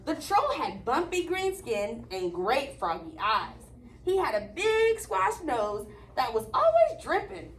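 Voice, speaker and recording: A woman reading aloud to young children in an expressive way, pausing to ask questions, recorded at a distance in an echoey room with faint noise. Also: high-pitched. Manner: expressive, prompting listeners to fill in words. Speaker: story reader leading young children.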